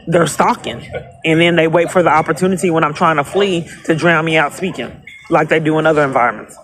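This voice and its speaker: A woman's voice: low voice, a little fast. A woman calling police for help, speaking insistently and repetitively and tending to talk over the other person. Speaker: caller to police dispatch. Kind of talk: phone call pleading for help.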